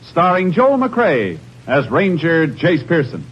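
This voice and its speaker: A man's voice: fairly high pitch, wildly swooping pitch, slow, slight background noise. A man announcing a program in the style of a 1950s radio show. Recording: muffled old radio broadcast recording.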